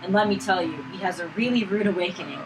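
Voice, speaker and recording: A woman voicing a brisk, motherly, teasing florist in a visual novel. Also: fairly low pitch, noisy background, pitched below the performer's own voice, natural voice. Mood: brisk, friendly.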